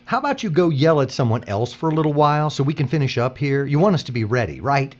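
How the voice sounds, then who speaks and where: An actor playing a weary, sardonic veteran worker, blunt and gruff, in a dystopian audio drama. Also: male voice, mid-range voice, expressive, fast talker, darkish tone, natural voice. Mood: irritated. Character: weary, cynical male veteran worker mentoring a younger one. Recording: dull audio with faint mains hum.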